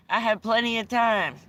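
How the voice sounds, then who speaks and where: Bright, chatty American woman. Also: a little high, slight background noise. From United States. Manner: chatty and rambling, laughs often, swears casually. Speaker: livestream host. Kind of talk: casual rambling livestream chat. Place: inside a vehicle.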